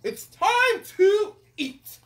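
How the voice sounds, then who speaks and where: A woman voicing a hungry storybook king who is bossy and loves food, in a commanding, drawn-out delivery. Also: extremely high pitch, big pitch swings, pitched above the performer's own voice, heavily acted.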